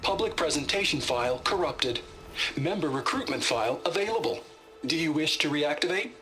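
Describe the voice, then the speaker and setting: An impersonal computer voice from a video game, voiced by a performer, giving formal system notices. It is clipped and official, with music clearly behind. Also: mid-range voice, expressive, moderate pace, close to an everyday voice. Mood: neutral, formal. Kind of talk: scripted system notifications.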